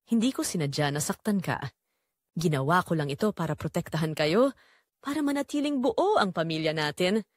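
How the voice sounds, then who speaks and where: A woman's voice: lowish voice, expressive, normal speed, no echo, studio quality. A woman voicing a guilt-ridden mother in an audio drama, pleading emotionally.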